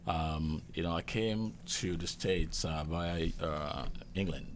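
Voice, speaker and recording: Deep-voiced Nigerian man telling stories. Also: slow pace, slight background noise. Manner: relaxed, chuckling anecdotes with many fillers. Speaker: guest chef. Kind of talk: casual interview storytelling.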